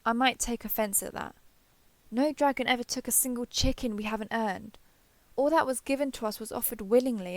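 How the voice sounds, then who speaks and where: A woman's voice: on the high side, fairly bright tone, no echo. A woman voicing a proud, ancient female dragon in a fantasy roleplay audio, with formal, haughty, archaic phrasing.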